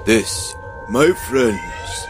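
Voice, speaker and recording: A man playing a solemn, absurdly earnest wizard guide in a comic radio play, with portentous, dramatic pauses. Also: fairly low pitch, animated, music in the background.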